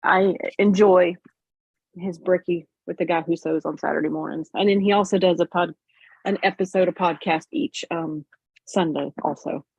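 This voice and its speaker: A woman's voice: lowish voice, fairly even intonation, moderate pace, gravelly, a little mellow, husky, no echo. A woman with an American country accent, hosting a casual, folksy livestream chat while sewing, on muffled audio. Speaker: livestream host.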